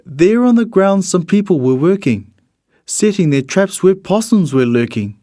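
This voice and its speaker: A man reads a rhyming children's storybook in an expressive, measured storytelling manner. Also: high voice, no echo, clean studio sound. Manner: expressive, measured storytelling in the character of a bird narrator. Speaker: storybook reader.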